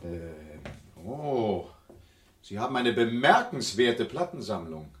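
An actor playing a persistent, probing police inspector in a German radio crime play, official and clipped in manner. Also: male voice, lowish voice, very animated, takes it slowly, a bit bright, slight background noise, full character voice. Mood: curious, probing.

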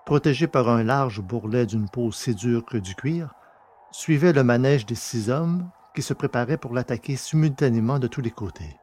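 A man reading scripted adventure fiction as a dramatic audiobook narrator, his voice slightly husky. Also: medium pitch, a little mellow.

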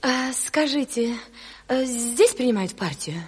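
An actress playing a naive, coquettish woman with a husky, breathy voice, coy and eager, in a radio play. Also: a little high, expressive, takes it slowly, exaggerated voice.

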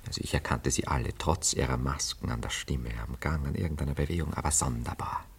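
Husky, low-voiced glib young bridegroom. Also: male voice, exaggerated voice. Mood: excited reminiscing. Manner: animated storytelling, quick swings into murmurs and quotes. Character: evasive womanizing young Viennese bridegroom, sentimental and glib. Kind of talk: German radio play acting, theatrical dialogue.

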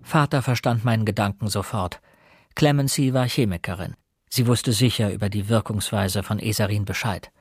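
An actor playing an earnest young investigator who narrates a German radio play, composed and courteous. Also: male voice, lowish voice, moderate pace, breathy edge, no room sound, clean studio sound. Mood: composed, reflective.

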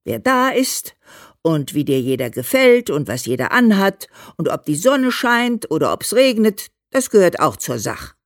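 Older mom in a radio play, low female voice, bossy and teasing, really swingy pitch, dry sound. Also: sing-song, unhurried, no echo. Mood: mocking, scolding. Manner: admonishing, colloquial, emphatic. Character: scolding, teasing, practical old mother of a famous son. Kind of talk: dramatized letter reading in a radio play.

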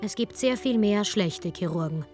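A woman's voice: mid-range voice, animated, normal speed, a little mellow. A woman narrating a scripted radio documentary in a wry, sardonic way. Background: music.

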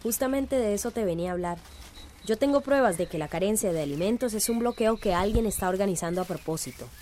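An actress playing a determined, indignant community activist in a radio drama, earnest and urgent. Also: female voice, medium pitch, lively intonation, fast talker, mellow, a little hiss or hum, close to an everyday voice.

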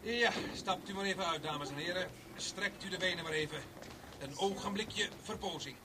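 An actor playing a brisk tour guide in a radio play, addressing visitors in formal announcing patter. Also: male voice, high voice, lightly acted. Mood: official, showman-like. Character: brisk male tour guide showing visitors a strange net. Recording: distant, noisy old radio recording.

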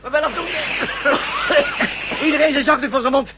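An actor in a Dutch radio drama playing a bold, excitable man defending a besieged house, urgent and commanding, on a noisy old recording. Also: very high-pitched, normal speed, lo-fi sound.

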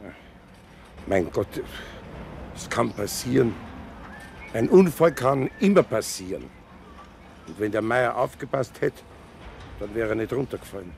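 Humble foreman in a radio play, gravelly, hesitant, faint traffic noise behind. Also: medium pitch, lively intonation, a little slow, long pauses, a little mellow. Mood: cautious, placating. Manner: hesitant, deferential, trailing off. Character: deferential building foreman humbly seeking a job. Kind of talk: naturalistic radio play acting.

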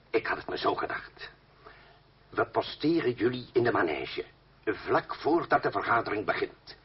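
Loud, coarse revolutionary firebrand. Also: male voice, mid-range voice, husky. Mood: eager, brash. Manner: bellowing, swearing, mocking. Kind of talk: radio drama acting. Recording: dull noisy old radio recording.

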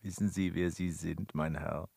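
An actor playing a boastful, cheeky manservant and gleeful liar in a classical German radio comedy, teasing with mock solemnity and theatrical flourish. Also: male voice, low voice, steady tone, unhurried, creaky, a little mellow, breathy edge, no room sound, full character voice.

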